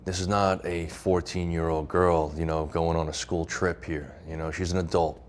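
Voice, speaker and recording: A man's voice: deep voice, little pitch movement, normal speed, slight background noise. A man as an interview guest, speaking candidly in a plain, firm manner. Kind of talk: candid personal reflection.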